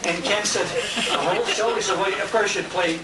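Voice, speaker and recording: A man's voice: sits high, fairly quick, far from the mic. An American man speaking informally as emcee of a tribute dinner, telling anecdotes with quoted conversations. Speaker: emcee and radio show host. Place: restaurant banquet room. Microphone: handheld compact camera at a distance. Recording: echoey, noisy room recorded on handheld camera.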